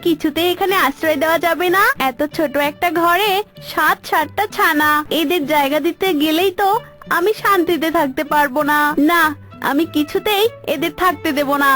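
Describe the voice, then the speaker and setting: A woman's voice: very high voice, normal speed, a bit bright, soft background music. A woman voicing a selfish, irritable, grumbling mynah bird in a cartoon. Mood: grudging. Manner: grumbling, argumentative.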